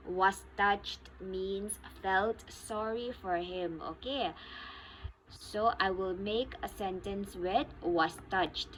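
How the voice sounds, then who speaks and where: A schoolteacher takes a vocabulary lesson for young children at a very unhurried pace. She explains each word slowly, repeats it, and rounds off nearly every phrase with a questioning "okay?". A faint hiss of noise runs underneath. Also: medium pitch, pushed and bright, rough recording. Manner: slow and deliberate, repeats each definition, ends phrases with "okay?". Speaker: school teacher.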